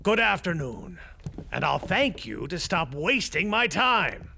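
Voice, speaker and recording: A man's voice: high voice, big pitch swings, measured pace, slight background noise, exaggerated voice. An actor playing a cold-hearted, miserly old businessman in an audio drama, curt and contemptuous. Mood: cold, scornful. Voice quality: creaky, sharp. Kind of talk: audio theatre acting.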